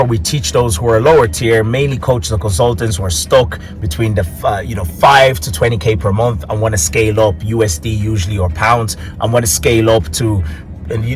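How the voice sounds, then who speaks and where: A man in his thirties talks off the cuff in an office recording booth. His voice sits at an ordinary middle pitch, and he rambles, restarts and corrects himself mid-sentence. Faint music plays underneath. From Tanzania, raised in Ireland. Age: 30s. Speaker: marketing coach. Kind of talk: casual unscripted talk to camera.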